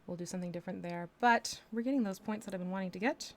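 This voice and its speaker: A woman's voice: medium pitch, rapid-fire. Chatty woman rambling while she paints. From Texas, USA. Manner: chatty, rambling, with asides and laughs. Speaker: livestreamer painting miniatures. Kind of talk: casual chat while working.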